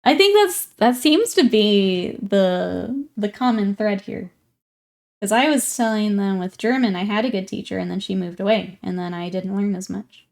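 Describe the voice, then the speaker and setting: A woman's voice: medium pitch, normal speed, gravelly, darkish tone. A young woman streaming live while painting miniatures, chatting casually and reading viewer comments aloud as she works. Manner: chatty and easygoing, thinking aloud while working.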